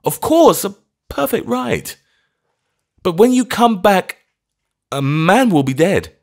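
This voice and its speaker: A man's voice: high-pitched, very animated, slow pace, darkish tone, pitched above the performer's own voice, full character voice. A man voicing a meek, trembling old clergyman who stammers out anxious apologies. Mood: anxious pleading.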